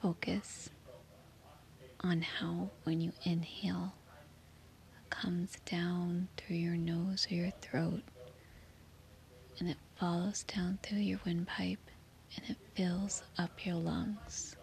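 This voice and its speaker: A woman guiding a children's sleep meditation in a soothing, gently reassuring manner. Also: lowish voice, flat delivery, takes it slowly, creaky, pauses often. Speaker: meditation guide.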